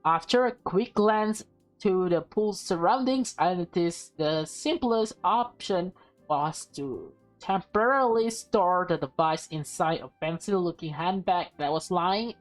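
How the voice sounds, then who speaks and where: Woman, lowish voice, unhurried, casual game read-aloud, halting delivery, stumbling and correcting herself, music behind. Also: expressive, poor audio. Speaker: gameplay streamer reading a visual novel aloud. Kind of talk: casual let's-play read-aloud with commentary.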